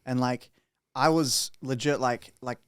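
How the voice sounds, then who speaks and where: A man's voice: mid-range voice, fairly even intonation, measured pace, fairly bright tone, clear, resonant tone, no echo, clean studio sound. Swearing, joking young male podcast host. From Romania. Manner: profane, joking, rambling. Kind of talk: casual chat.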